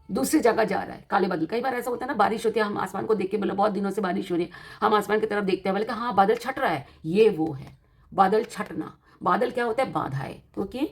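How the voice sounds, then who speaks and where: A woman with an Indian accent and a slightly worn voice gives an informal tarot reading, explaining each point in a teacherly, encouraging way and often repeating and rephrasing it. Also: medium pitch. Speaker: tarot card reader and host.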